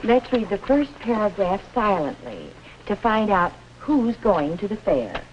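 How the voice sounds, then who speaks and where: Actress as kindly 1950s schoolteacher, mid-pitched woman, patient and instructive with children, lo-fi noisy film sound. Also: sing-song, a little slow. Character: kindly, orderly woman primary school teacher. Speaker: teacher. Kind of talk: 1950s educational film acting.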